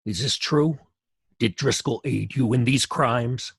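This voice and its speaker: A man playing a brisk, stern police commissioner with an authoritative, questioning manner, in old-time radio drama style. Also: mid-range voice, creaky, breathy edge, no echo, studio quality, exaggerated voice. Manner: authoritative, interrogating.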